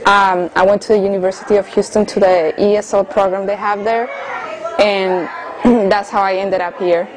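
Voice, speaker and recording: A woman of about thirty, speaking English in a middle-pitched, everyday voice. She tells her personal story earnestly and unhurriedly, with small hesitations between thoughts. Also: flat delivery, normal speed, slight background noise, low-quality recording. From Colombia. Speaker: interviewee. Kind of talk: personal testimony in conversation.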